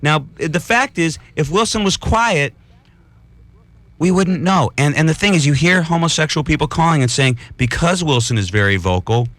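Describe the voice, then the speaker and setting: Radio co-host, man, higher bright voice, dry studio sound, goes off on long rambling stories. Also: normal speed, dry recording. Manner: rambling, animated, tells made-up anecdotes to make a point. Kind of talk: late-night call-in radio talk. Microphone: studio microphone.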